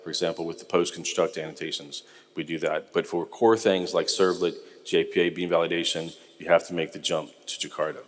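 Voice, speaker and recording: Middle-aged American man, low register, easygoing conference speaker, big-room reverb. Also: a little fast. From United States. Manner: relaxed, conversational, frequent ums, polls the audience. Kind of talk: technical conference talk. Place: large conference hall.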